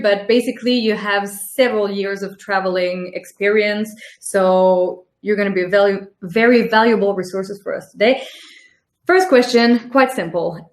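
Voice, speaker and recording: A woman hosting a webinar, presenting and asking interview questions in a friendly, measured way with slightly phrasing. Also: medium pitch. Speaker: webinar host, foundation coordinator. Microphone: video call. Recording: echoey room on a video call.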